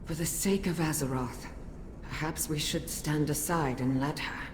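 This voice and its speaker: Low-voiced solemn warrior noblewoman played by an actress. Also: fairly even intonation, natural voice. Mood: grave, worried. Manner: measured, earnest, formal. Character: solemn, wary warrior noblewoman advising her king. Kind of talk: video game cutscene acting. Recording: echoey hall reverb, faint ambient noise.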